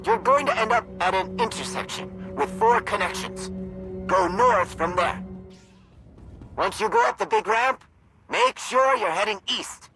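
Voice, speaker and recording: A woman's voice: medium pitch, expressive, a little slow, gravelly, music in the background, rough recording. An actress playing a practical female rebel guide giving directions in a video game. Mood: guiding.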